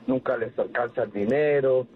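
Husky Venezuelan man on a tinny phone line. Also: medium pitch, normal speed. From San Cristóbal, Venezuela. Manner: polite, earnest. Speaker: radio call-in guest. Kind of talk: heartfelt phone conversation.

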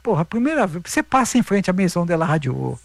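High-pitched, gravelly Brazilian man telling stories. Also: sing-song, fast, no room sound. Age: middle-aged. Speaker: interview guest, veteran marathon runner. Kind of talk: casual anecdotal interview talk.

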